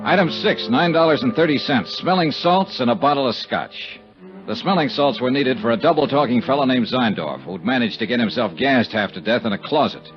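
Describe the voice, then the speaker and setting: An actor playing a wry, sardonic American investigator with a deadpan, clipped delivery in a 1950s radio detective drama. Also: male voice, mid-range voice, fairly quick, over music, natural voice. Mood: dry, wry. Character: wry, hard-boiled male American insurance investigator. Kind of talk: 1950s radio detective drama acting, with first-person narration. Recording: dull vintage 1950s radio recording.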